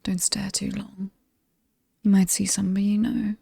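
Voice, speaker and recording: Casting: actress as alluring, menacing British demoness for horror ASMR. Breathy half-whisper, dark and creaky, teasingly ominous. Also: female voice, mid-range voice, level tone, normal speed, no echo, studio quality. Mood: teasing, inviting. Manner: seductive, teasing, theatrically ominous, lingering on words. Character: alluring, sinister ageless demon woman showing off haunted mansion.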